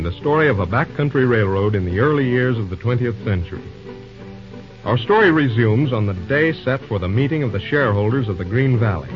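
A man announces an old radio serial in an American accent. His pitch is medium and his delivery is scripted narration. Music plays clearly behind him and the recording is muffled.

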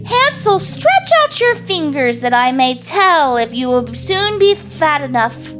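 A shrill, cackling old witch, her voice leaping far above normal speech and swooping wildly as she gloats. The voice is pinched and strange, loud and spiteful, with soft music playing underneath like a storybook recording. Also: sing-song, unhurried. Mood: harsh commanding. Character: wicked old witch woman, spiteful and greedy.

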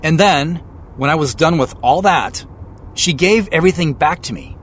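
Amateur investigator, earnest, suspicious, hesitant conversational delivery, lively intonation, naturalistic audio drama, light vehicle noise. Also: male voice, on the high side, normal speed. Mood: earnest, explaining.

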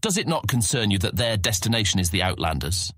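A man playing an earnest young guild ranger in a fantasy audiobook, husky, polite and persuasive as he pleads his case. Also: on the low side, very animated, fast talker, a bit bright, dry recording, clean studio sound, exaggerated voice. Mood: earnest, insistent. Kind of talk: full-cast fantasy audiobook acting.

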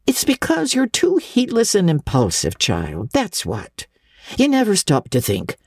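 Storybook village busybody, acted by a woman: older, brisk, emphatic, very animated, slightly husky, fond of admonishing. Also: mid-range voice, no echo, studio quality, voice pushed up, heavily acted. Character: brisk, gossipy, bossy older village matron. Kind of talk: dramatic audiobook reading of classic novel.

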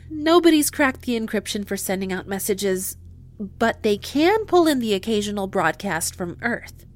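A woman's voice: mid-range voice, sing-song, measured pace. An actress in an audio drama playing a chatty, emphatic woman who runs a moon base's inventory and gets easily frustrated. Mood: amused, informative.

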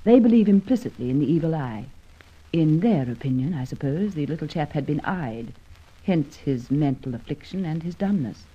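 An actress playing an earnest, matter-of-fact farmer's daughter in an old radio drama, speaking in a measured, articulate way. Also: female voice, low voice, expressive, dark tone, breathy edge. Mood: sympathetic, explanatory. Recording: muffled old radio recording.

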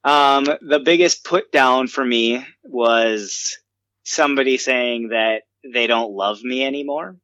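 A man's voice: medium pitch, slow pace, sharp tone, smooth, dry recording, poor audio. A man co-hosting a comedy podcast, chatting casually and self-deprecatingly with many fillers. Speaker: podcast co-host. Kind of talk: casual chat.

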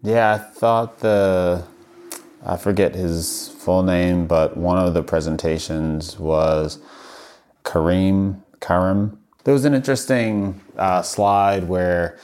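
A male interviewer with an extremely deep voice. He speaks casually, stopping and correcting himself as he recounts a point. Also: a little mellow. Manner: gives short backchannels, then recounts in halting sentences. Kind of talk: casual conversation.

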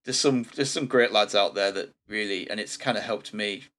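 Relaxed low-voiced man. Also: moderate pace. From England. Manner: relaxed and rambling, with frequent ums. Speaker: podcast host. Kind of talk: casual podcast chat.

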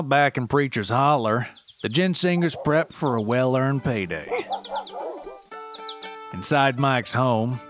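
A man narrates a scripted story in documentary style, with a medium-pitched voice. His delivery is measured and deadpan, and plucked guitar music plays behind him. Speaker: narrator, writer reading own story.

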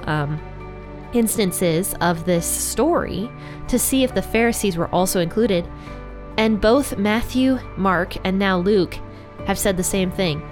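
Woman, congested from a cold, chatty podcast host, conversational, faint background music. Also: mid-range voice. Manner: chatty and conversational, addresses listeners directly. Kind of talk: casual Bible devotional podcast.

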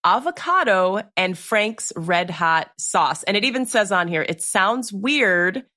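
Expressive American woman hosting, mid-pitched. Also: slow. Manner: conversational, expressive. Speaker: interviewer, host. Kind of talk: casual interview, reading menu aloud.

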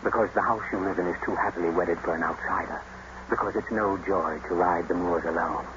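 Man acting dark commanding vengeful house master, real low voice, old radio drama, muffled and noisy. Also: steady tone, lightly acted. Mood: smooth, seductive. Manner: commanding, deliberate, menacing.

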